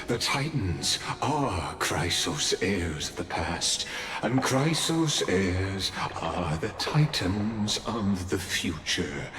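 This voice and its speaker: An echoing, low male voice over clear music. He is a detached, godlike observer who looks down on mortals. Every pronouncement is grandiose, measured and condescending. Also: heavily acted. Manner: grandiose, deliberate, pausing pronouncements. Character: cold, condescending godlike observer of a doomed simulated world. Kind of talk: dramatic video game voice acting. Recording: echoey processing with music underneath. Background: loud background music.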